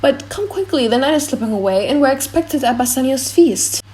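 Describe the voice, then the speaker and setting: A woman playing a lovestruck, eager young gentleman in a dramatised audiobook. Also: high voice, expressive, brisk. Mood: adoring.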